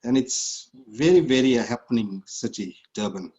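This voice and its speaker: A man from South Africa, a singer, answering an interview in a relaxed, easygoing way with short replies. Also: mid-range voice, moderate pace, muffled recording. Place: indoors, small room.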